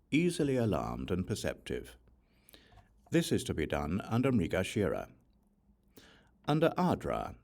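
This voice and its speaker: A man reading an ancient Buddhist text as an audiobook narrator, in measured, solemn short phrases. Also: fairly low pitch, a little mellow. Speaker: audiobook reader.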